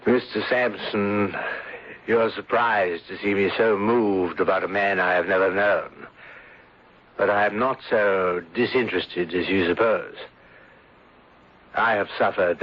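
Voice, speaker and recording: A dull, lo-fi radio recording of a smooth gentleman schemer. His voice sits fairly low, and he speaks in florid, unctuous phrases with delicate hesitations and mournful sympathy. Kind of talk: radio drama acting.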